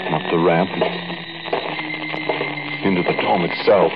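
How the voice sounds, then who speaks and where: An actor playing an apprehensive crook lookout who also tells the tale, fearful and defiant, in 1940s radio style. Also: male voice, deep voice, steady tone, unhurried, over music. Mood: haunted, tense. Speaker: narrator and lead actor. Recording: very noisy muffled 1940s radio recording.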